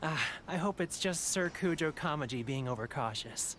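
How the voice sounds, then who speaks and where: An actor playing a loyal, watchful young master's retainer in a video game. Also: male voice, a little high, animated, moderate pace, a bit bright, slight background noise. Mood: worried, resolute.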